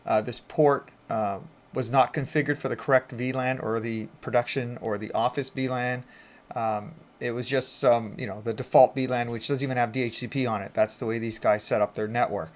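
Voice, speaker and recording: A man's voice: mid-range voice, steady tone, moderate pace. A man presenting an informal, conversational tech tutorial, explaining things step by step as he demonstrates. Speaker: IT instructor and presenter. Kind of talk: casual screen-recorded tutorial narration.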